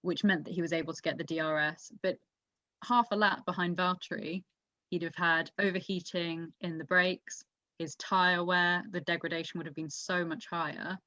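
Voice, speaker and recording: A woman's voice: lowish voice, fairly even intonation, normal speed, bright, forceful tone, no echo. A woman hosting a casual motorsport discussion, talking things through in an analytical, explanatory way. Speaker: podcast host. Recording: dull, muffled lo-fi audio.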